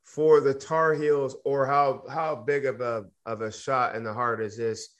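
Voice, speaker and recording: Man, sports podcast host, medium pitch, upbeat, casual, ad reads. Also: steady tone, unhurried. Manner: upbeat, moves the show along, reads sponsor ad. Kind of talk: casual sports talk and ad read.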